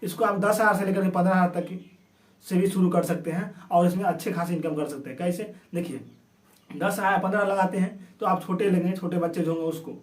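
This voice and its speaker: A man's voice: sits high, a little fast. A man with a sore throat, hosting an informal explainer and often rephrasing himself. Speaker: video host. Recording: roomy echo, distant from microphone.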